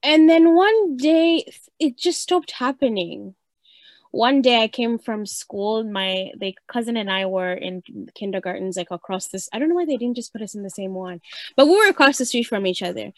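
A woman's voice: fairly high pitch, lively intonation, normal speed, no echo. A woman from Uganda hosting a podcast, chatty and encouraging, often affirming her guest. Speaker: podcast host. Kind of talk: conversational podcast chat.

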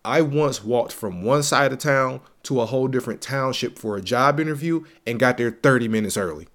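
A man's voice: mid-range voice, fairly quick, fairly bright tone. Stuffy-nosed man hyping games. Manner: slangy, repeats phrases for emphasis, hype-man energy. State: stuffy, congested. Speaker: podcast host. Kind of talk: casual solo gaming podcast.

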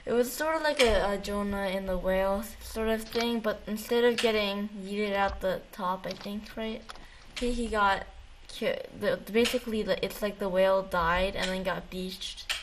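A woman's voice: medium pitch, moderate pace, background noise. A woman chatting casually, retelling things in a rambling, slangy, jokey way. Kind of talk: casual chat.

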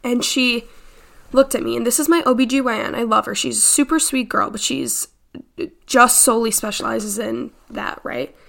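A young woman in her late teens talks as a podcast host in a fairly high voice with plenty of vocal fry. She sounds slightly stuffed up from congestion. Her delivery is chatty and informal, like a personal monologue with frequent filler phrases. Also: moderate pace, a bit bright. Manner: chatty, informal, peppered with "like" and "you know".

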